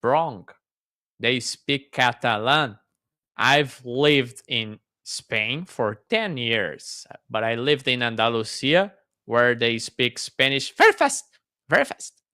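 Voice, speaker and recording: A man hosts a relaxed conversation, chatty and enthusiastic as he asks questions. His voice is smooth and clear, recorded dry and close. He speaks at an unhurried pace. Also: mid-range voice, no room sound. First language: Portuguese. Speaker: host. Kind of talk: casual interview chat.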